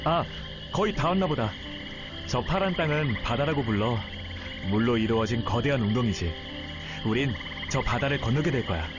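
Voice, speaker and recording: A man voicing a gentle, polite young traveling guardian who explains things calmly in a Korean game story. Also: mid-range voice, moderate pace, lightly acted. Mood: kind, patient. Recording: dull sound under music.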